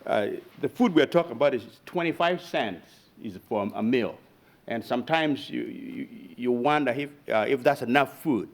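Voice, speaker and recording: A middle-aged or older man with a Ugandan accent giving a halting personal testimonial at a public meeting. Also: medium pitch. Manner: halting, repeats words. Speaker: Rotary club member. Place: town board meeting room.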